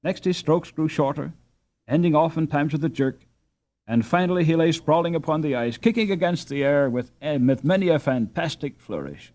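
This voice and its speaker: A man reading a story aloud as an audiobook narrator, in an expressive, dramatic storytelling manner. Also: a little high, normal speed.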